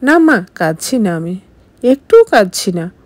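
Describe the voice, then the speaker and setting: A woman's voice: mid-range voice, big pitch swings, measured pace, darkish tone, no room sound. A woman voicing a devoted, self-sacrificing young wife in an audio story, emotive and pleading. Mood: tearful reassurance.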